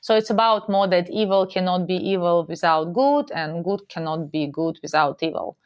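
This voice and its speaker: A woman from Russia speaking with a Russian accent, a guest talking in a halting but lively way in a casual interview. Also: on the low side, dull audio.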